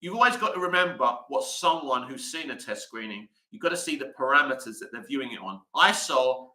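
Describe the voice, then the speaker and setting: A man's voice: mid-range voice, expressive, a little fast, sharp tone, echoey room, low-quality recording. A man from the UK hosting a live stream, chatting and answering viewer comments as he reads them out. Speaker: host.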